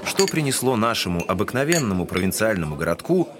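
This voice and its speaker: A man's voice: fairly low pitch, big pitch swings, quick pace, background noise. A man giving scripted literary narration in a Russian audio drama.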